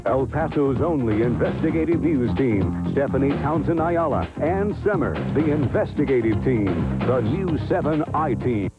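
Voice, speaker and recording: A man's voice: medium pitch, expressive, normal speed, few pauses, lo-fi sound, over loud music. A man voicing a punchy, urgent TV news promo. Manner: punchy, urgent announcer read. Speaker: promo announcer.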